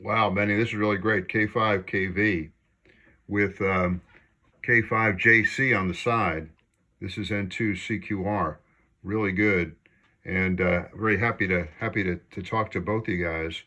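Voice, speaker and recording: Enthusiastic man with a bright voice. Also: low-pitched, steady tone, unhurried. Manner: enthusiastic, appreciative. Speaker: amateur radio operator. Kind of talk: casual ham radio conversation.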